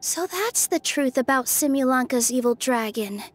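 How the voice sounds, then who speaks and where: High-voiced earnest young adventurer. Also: female voice, lively intonation, normal speed, slight background noise. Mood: thoughtful. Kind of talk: anime game dubbing.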